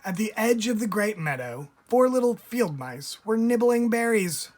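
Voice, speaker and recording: A man, a teacher, reading a picture book aloud in an expressive storytelling style, stressing key words. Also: high voice, moderate pace. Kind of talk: expressive read-aloud narration for children. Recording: music under the voice. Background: music.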